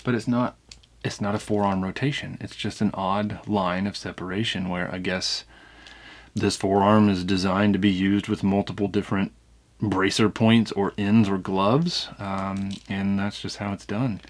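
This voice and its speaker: A man's voice: fairly low pitch, flat delivery, moderate pace. A man hosting a casual unboxing review, talking conversationally and thinking aloud as he examines things. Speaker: toy review channel host.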